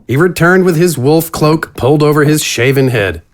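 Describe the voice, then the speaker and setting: A man's voice: fairly high pitch, normal speed, a little hiss or hum. Male narrator, scripted, dramatic storytelling, fantasy adventure audio play.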